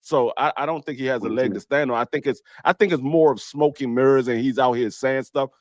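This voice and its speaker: A man's voice: medium pitch, brisk, no echo. A man co-hosting a boxing talk show, stammering as he argues a point through examples. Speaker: co-host. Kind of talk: casual sports chat.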